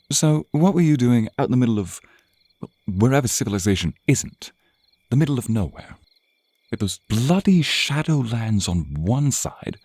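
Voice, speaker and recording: Guy doing a smug vampire captor for asmr, dark breathy voice, purring and threatening, draws words out. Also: mid-range voice, no room sound. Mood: mocking, interrogating. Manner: theatrical, purring, drawn-out phrasing, often near a whisper. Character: suave, menacing, teasing male vampire captor. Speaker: roleplay voice performer. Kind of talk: ASMR roleplay acting, intimate and slow.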